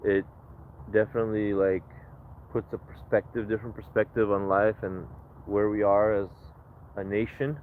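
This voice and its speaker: A low-voiced man talks in a reflective, rambling way, restarting his sentences often. His voice comes through a tinny, phone-like outdoor recording with a faint hiss behind it. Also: level tone, normal speed. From Ukraine. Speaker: livestreamer. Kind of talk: casual livestream chat.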